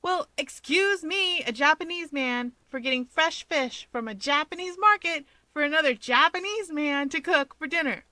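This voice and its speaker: A woman's voice: sits high, moderate pace, hardly any pauses, pushed and bright, no room sound, pitched above the performer's own voice. A woman voicing a cocky, teasing young male hero with a breezy, dramatic, argumentative manner in a story reading. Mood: indignant.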